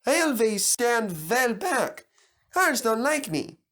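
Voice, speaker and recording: A man's voice: very high-pitched, very animated, takes it slowly, fairly bright tone, voice pushed up, exaggerated voice. A man voicing a sulky, self-centred male steam engine in a storybook reading. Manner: sulky, defensive.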